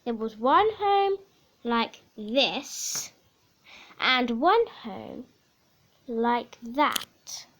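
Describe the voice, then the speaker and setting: A woman reading a children's picture book aloud in a theatrical, measured way, with dramatic emphasis. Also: fairly high pitch, sing-song, fairly bright tone. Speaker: story reader.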